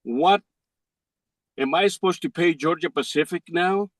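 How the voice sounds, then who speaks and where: An older Greek man pleads his case to a judge in halting, broken English, full of restarts. His voice sits a little high for a man, and the sound is dry and close with no room around it. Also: no room sound. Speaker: defendant in court. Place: courtroom.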